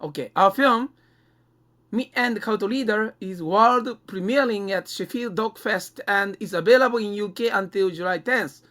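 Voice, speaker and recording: Halting man with a Japanese accent. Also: sits high, sharp tone. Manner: halting, non-native English phrasing. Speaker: podcast co-host and filmmaker. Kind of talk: conversational podcast talk.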